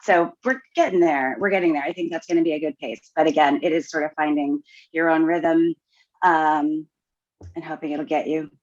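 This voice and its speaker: Low-voiced woman on a dull mic. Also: no echo. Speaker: writing course student, podcast guest. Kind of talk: casual chat.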